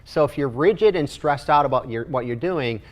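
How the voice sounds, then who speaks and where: A man of middle pitch talks as a guest in a studio chat. He explains things steadily, often restarting phrases, with occasional light laughs. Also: animated, normal speed. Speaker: guest nutrition coach. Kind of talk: casual interview chat.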